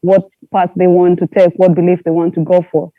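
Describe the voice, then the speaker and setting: High-voiced man from Tanzania, muffled. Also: completely flat delivery, brisk, no echo. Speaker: panelist. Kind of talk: casual discussion.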